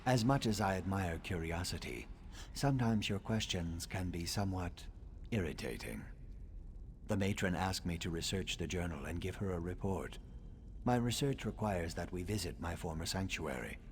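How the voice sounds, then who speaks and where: A low-voiced, scholarly reptilian assassin from a fantasy video game. He speaks formally and with measured care, brooding and wryly sardonic. Some ambient noise sits behind the voice. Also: expressive. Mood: dry, irritated. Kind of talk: video game dialogue acting.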